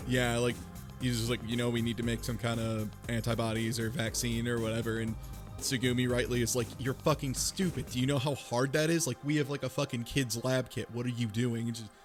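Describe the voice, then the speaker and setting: A man's voice: mid-range voice, little pitch movement, fairly quick, music in the background. A man co-hosting a casual chat, recapping a story in a blunt, profane, sarcastic way. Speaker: podcast co-host.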